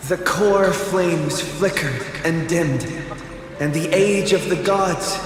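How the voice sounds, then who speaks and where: Solemn hero, slow, cavernous reverb. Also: male voice, sits high. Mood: solemn, grand. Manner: slow, grandiose, declamatory. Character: solemn heroic young man addressing mortals as a savior. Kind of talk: dramatic game voice acting. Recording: cavernous reverb with loud music behind.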